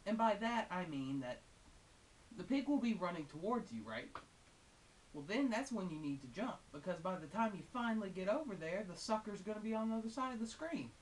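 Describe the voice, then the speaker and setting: A female-sounding game reviewer giving casual, unscripted and opinionated commentary in a conversational way with wry asides. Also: mid-range voice. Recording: hissy, distant microphone.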